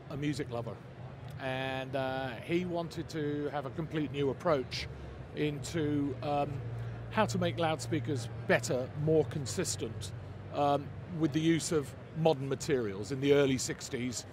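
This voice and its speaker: A man with an English accent answering interview questions at a trade show, stopping and restarting often. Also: fairly high pitch. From England. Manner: hesitant, many repeated starts. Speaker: speaker company representative. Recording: noisy trade show floor.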